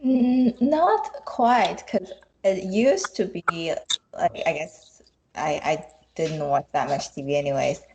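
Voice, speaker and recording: Slow, low-voiced young woman with creaky fry. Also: a little mellow, a little hiss or hum, low-quality recording. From China. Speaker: podcast guest. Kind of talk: casual conversation.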